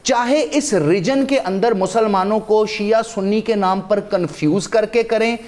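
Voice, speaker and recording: A man's voice: high voice, measured pace, darkish tone. A man with a Pakistani accent giving impassioned, emphatic religious and historical commentary as a talk show guest, building his points through repetition.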